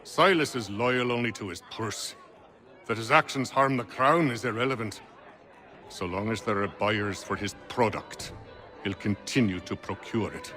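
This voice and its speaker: An actor playing an earnest, dutiful colonial diplomat in a video game, speaking formally and articulately with frustration. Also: male voice, on the low side, moderate pace, pushed and bright, faint music behind, a faint crowd. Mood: grim. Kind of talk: video game cutscene acting.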